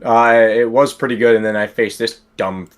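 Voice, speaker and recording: A man's voice: medium pitch, fairly even intonation, moderate pace. Grumbling male gamer co-host. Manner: grumbling, with over-the-top joking threats at the game. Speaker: co-host playing a game on stream. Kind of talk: casual gameplay commentary.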